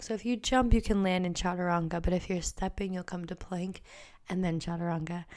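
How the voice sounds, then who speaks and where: A woman instructor guiding a yoga class with a soft, breathy, gentle delivery. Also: mid-range voice.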